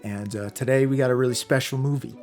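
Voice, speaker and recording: A hoarse young man in his twenties hosting a casual film reaction, chatty and excitable, with many fillers. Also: mid-range voice, fast, faint music behind. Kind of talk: casual movie reaction commentary.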